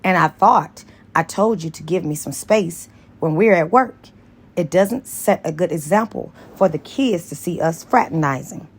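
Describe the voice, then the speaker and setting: Woman reading as a lively, confident young teacher. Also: lowish voice, moderate pace, slightly rough. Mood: firm. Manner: lively, playful, assertive. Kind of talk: dramatized audiobook dialogue.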